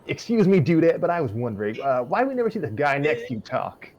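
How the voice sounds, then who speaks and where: Man putting on a high-pitched teen surfer dude. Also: sing-song, fast, a little mellow, muffled recording. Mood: polite, curious.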